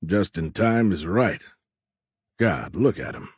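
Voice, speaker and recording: A man voicing a sharp, dry, teasing submarine captain in an audiobook. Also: low-pitched, big pitch swings, takes it slowly, breathy edge, no echo, heavily acted. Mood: sceptical.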